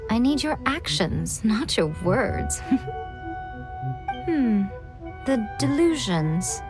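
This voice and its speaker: An actress playing a sly, self-assured shrine priestess in a video game cutscene, coy, teasing and aloof. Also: female voice, on the high side, very animated, extremely slow, heavily acted. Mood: gentle, reassuring. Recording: music clearly behind the voice.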